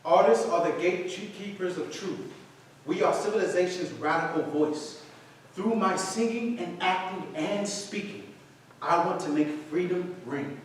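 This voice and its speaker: A man's voice: sits high, unhurried. A man playing a confident, breezy veteran actor in his thirties in a staged reading. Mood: proud. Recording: very echoey room, distant mic, faint noise.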